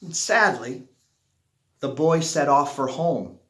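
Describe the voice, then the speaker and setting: A man reads a children's storybook in an echoey room. His fairly high voice swings widely up and down. He takes his time, drawing out words for dramatic effect. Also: lively intonation, slow pace, fairly bright tone. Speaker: storybook reader. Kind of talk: expressive children's picture book read-aloud.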